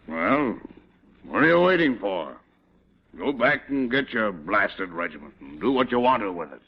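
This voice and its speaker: Gruff grudging army boss, deep and swoopy, old radio drama acting. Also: male voice, sing-song, slow, long pauses, full character voice. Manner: gruff, blunt. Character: gruff, grudging army superior named Grant. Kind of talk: mid-20th-century American dramatic acting. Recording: dull old radio-era drama recording.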